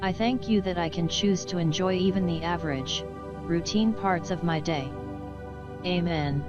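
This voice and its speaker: A low-pitched woman reads a scripted devotional and prayer. The sound is a little dull and lo-fi, and instrumental music plays clearly behind her voice. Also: normal speed. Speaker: devotional reader. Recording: dull lo-fi audio under music. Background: guitar music.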